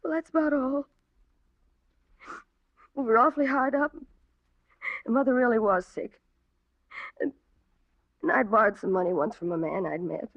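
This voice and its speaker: A young woman with a high voice and guilty secrets, evasive and world-weary. Her delivery is offhand, turning hesitant and pleading. The sound is a dry, dull old radio drama recording. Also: normal speed, pauses often, no room sound.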